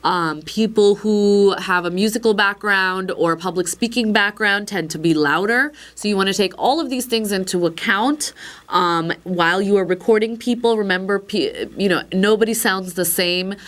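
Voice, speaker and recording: A slightly hoarse woman giving a casual, chatty product demonstration, with frequent fillers and wry asides. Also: medium pitch, moderate pace, a bit bright. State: a little hoarse. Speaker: instructor demonstrating a recorder.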